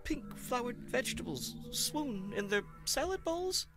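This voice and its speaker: A cowardly alien servant who grovels before his master. The voice is high and crackly, leaping about with nervous, oily flattery. It is campy sci-fi radio comedy with music behind. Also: measured pace, exaggerated voice. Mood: fawning. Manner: obsequious, florid flattery, nervous.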